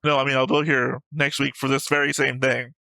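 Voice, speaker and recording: A man's voice: mid-range voice, little pitch movement, a little fast, vocal fry, pushed and bright, no room sound. A man co-hosting an anime show in casual, opinionated discussion, argumentative and emphatic, swearing freely. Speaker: co-host of an anime reaction show.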